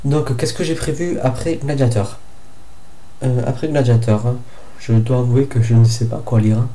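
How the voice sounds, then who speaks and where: Young man with a cold, dark flat voice. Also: mid-range voice, moderate pace, slight background noise. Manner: hesitant, lots of fillers and restarts. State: has a head cold. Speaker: book vlogger. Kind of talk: casual book review vlog.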